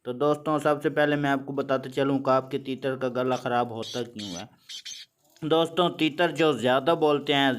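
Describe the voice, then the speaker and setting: A man's voice: medium pitch, a bit bright. Man explaining bird care over background noise. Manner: plain, repetitive explaining, addresses viewers as friends. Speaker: channel host. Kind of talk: instructional vlog on bird care. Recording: noisy home recording.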